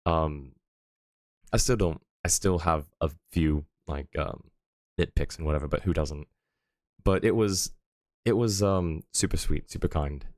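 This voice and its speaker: Deep-voiced man rambling on stream. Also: measured pace, darkish tone, no echo, clean studio sound. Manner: rambling, lots of fillers and chuckles. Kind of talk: casual stream chat.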